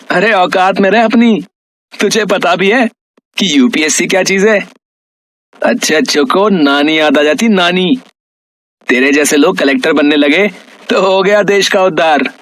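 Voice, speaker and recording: A man's voice: high voice, a little slow, fairly bright tone, no echo. A man playing an arrogant young son of a rich household, sneering and taunting as he talks. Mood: mocking.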